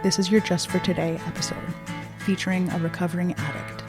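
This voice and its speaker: A woman's voice: lowish voice, little pitch movement, a little fast. Woman announcing over guitar music. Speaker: podcast host and announcer. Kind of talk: scripted announcement.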